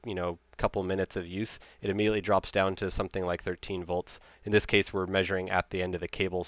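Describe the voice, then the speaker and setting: A man's voice: on the low side, dry recording, muffled recording. A man in his thirties giving an informal technical slide presentation in a conversational, explanatory way.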